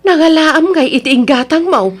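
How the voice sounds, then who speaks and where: A middle-aged mom in a radio drama, fairly high voice, worried, scolding, reproachful but caring. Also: female voice, animated, moderate pace. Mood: suspicious. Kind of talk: radio drama acting in Ilocano.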